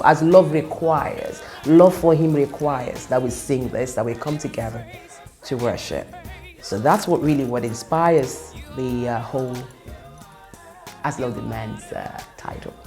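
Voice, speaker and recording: A woman whose first language is Yoruba, a gospel singer telling a personal testimony in an earnest, reflective way, pausing and restarting as she talks. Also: a bass voice, mellow, soft background music.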